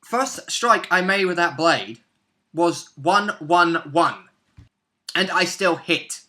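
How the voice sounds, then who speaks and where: Bright, slightly high-voiced young man chatting. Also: lively intonation, slow. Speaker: player in a tabletop role-playing campaign, co-host. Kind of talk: casual chat about a role-play session. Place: small domestic room indoors.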